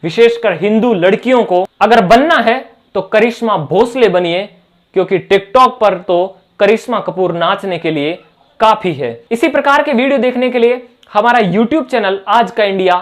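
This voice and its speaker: Guy doing opinionated news commentary, pretty high voice, emphatic and rhetorical. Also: normal speed. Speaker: news presenter.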